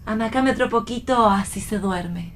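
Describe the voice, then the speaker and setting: A woman's voice: a little high, normal speed, natural voice. An Argentine actress playing a playful, teasing, defiant young wife and mother in a radio play reading. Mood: tired, playful.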